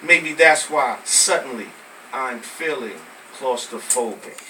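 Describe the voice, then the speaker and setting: An American man from Brooklyn performing spoken word poetry live, with emphatic, deliberate phrasing. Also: medium pitch, sharp tone. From Brooklyn, New York, United States. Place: live poetry venue with small audience. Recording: noisy, distant amateur recording of live venue.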